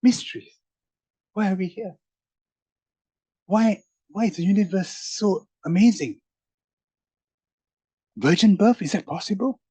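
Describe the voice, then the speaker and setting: A man's voice: sits high, measured pace, pauses often, warm tone, slightly rough, no room sound, poor audio. A man speaking as a roundtable panelist, musing through rhetorical questions with emphatic rises in his voice. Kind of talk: animated reflective public talk.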